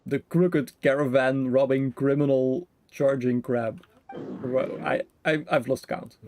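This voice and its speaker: A man's voice: medium pitch, steady tone, slow pace, darkish tone. Playful man streaming over noticeable background noise. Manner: playful, jokey, mutters in fragments. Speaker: game streamer. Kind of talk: casual live gameplay commentary.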